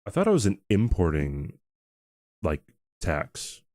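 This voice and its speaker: A man's voice: deep voice, dry recording. A young man streaming a game who chats casually with his audience, often chuckling and stopping to restart his sentences. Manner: chuckling, halting and relaxed.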